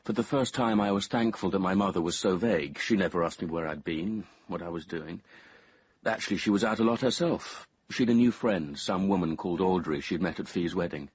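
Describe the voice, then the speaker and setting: Young british guy in a radio play, low husky voice, earnest, hesitant, smitten and uneasy. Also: brisk. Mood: brooding, reflective. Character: earnest, infatuated, uneasy young man telling his story. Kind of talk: radio drama acting with confessional first-person narration.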